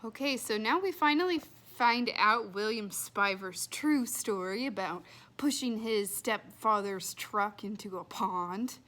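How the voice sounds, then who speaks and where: A woman's voice: medium pitch, expressive, measured pace, fairly bright tone. A woman hosting a children's read-aloud, telling the story expressively.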